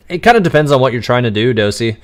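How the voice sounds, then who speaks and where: A man hosting a live-stream Q&A, answering chat questions in an exuberant, radio-like way and enunciating words crisply despite quick restarts. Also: medium pitch, lively intonation. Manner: talks fast with frequent restarts and laughs.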